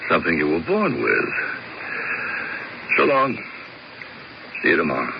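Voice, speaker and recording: A gravelly, deadpan hired killer. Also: male voice, low-pitched, measured pace, heavily acted. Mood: cold. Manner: flat, laconic, world-weary deadpan. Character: weary, cynical hired killer man. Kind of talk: 1970s radio drama acting. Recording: hissy lo-fi old radio recording.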